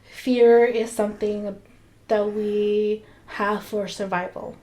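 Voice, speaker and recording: A young woman co-hosting a laid-back podcast, her voice a bit hoarse from a lingering cold. She explains ideas in measured, even steps, at an ordinary pitch for a woman. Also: a crowd in the background, poor audio. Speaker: podcast co-host. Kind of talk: casual podcast chat.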